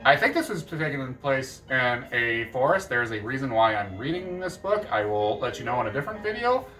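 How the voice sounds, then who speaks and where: A man chatting casually to camera about books, animated and quick to jump up in excitement. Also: far from the mic, faint music behind, low-quality recording. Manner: animated, jumps up in pitch with excitement. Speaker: book vlogger.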